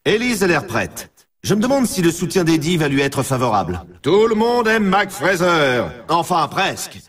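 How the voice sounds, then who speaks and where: A male actor voicing an excitable snowboard race announcer in a video game, delivering scripted commentary with a showman's swoops and stretched words. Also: on the high side, moderate pace, husky, distant mic, full character voice. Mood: hyped, confiding.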